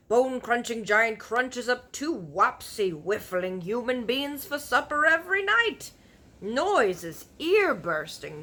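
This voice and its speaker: A huge, blustering storybook giant, acted out by a woman, declaims in a forceful, swooping voice. The delivery is loud, emphatic and drawn out, full of indignant bluster and folksy, mangled grammar. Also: mid-range voice, exaggerated voice. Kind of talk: children's storybook read-aloud.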